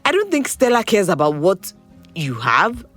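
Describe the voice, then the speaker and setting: A young Nigerian woman, a frank and teasing confidante in an audio drama. Her mid-pitched voice is very animated and conversational, with faint music behind it. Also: moderate pace. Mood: blunt, prodding. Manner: expressive, frank, prodding. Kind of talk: naturalistic audio drama acting.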